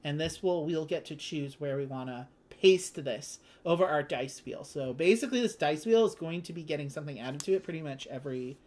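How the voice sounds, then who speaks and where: Chatty board game host, mid-pitched voice. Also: brisk, hardly any pauses, darkish tone.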